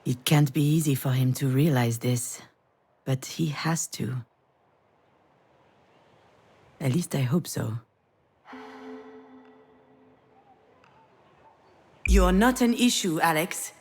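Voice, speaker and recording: A low-voiced, troubled woman. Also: lively intonation, moderate pace, a little mellow, breathy edge. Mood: concerned, sad. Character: troubled woman haunted by crash, anxious, introspective. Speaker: game heroine and narrator. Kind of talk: acted visual novel dialogue and inner narration. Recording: music behind the voice.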